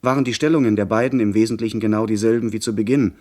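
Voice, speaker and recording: An actor playing a young gentleman who narrates his sea adventure in a literary radio play style. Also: on the low side, fairly even intonation, very fast, natural voice. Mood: narrating.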